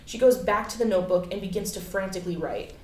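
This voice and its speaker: A woman's voice: mid-range voice, fast, darkish tone. A woman reading scripted stage directions as narration at a staged play reading. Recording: echoey room, far from the mic.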